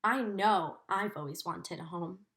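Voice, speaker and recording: A woman voicing a small, gentle storybook teddy bear who is wistful and innocent. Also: lowish voice, measured pace, pitched below the performer's own voice. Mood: wistful wonder.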